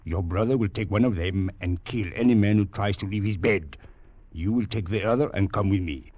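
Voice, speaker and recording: An actor playing a ruthless, contemptuous commandant in a 1940s radio drama, barking menacing orders. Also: male voice, fairly low pitch, a little fast, a little mellow, close to an everyday voice. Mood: cold menace. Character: ruthless French Foreign Legion commandant, brutal and contemptuous. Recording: dull, noisy 1940s radio recording.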